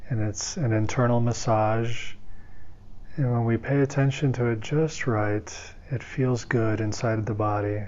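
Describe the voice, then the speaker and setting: A man leading a guided meditation as its teacher, speaking gently and soothingly, in an unhurried way with long pauses. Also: medium pitch, dull audio.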